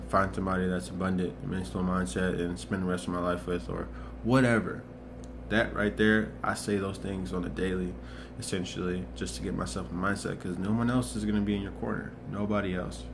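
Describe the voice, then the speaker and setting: A man's voice: low voice, background noise. A man in his twenties talking earnestly in a rambling motivational vlog, restarting and repeating himself often. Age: 20s. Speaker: motivational vlogger. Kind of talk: casual motivational monologue.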